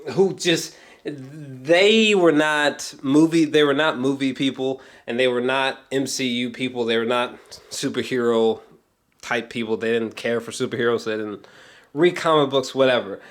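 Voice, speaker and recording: A man of medium pitch delivers an unscripted, casual reaction as a film vlogger. He is excitable and emphatic, rambling through halting thoughts, doubling back on phrases and swearing freely.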